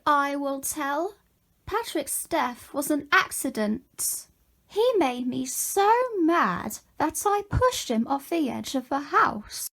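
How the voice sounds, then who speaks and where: A woman's voice: high voice, fairly bright tone, full character voice. A woman playing a jealous, murderous widow in an amateur murder mystery audio drama, theatrical and emphatic.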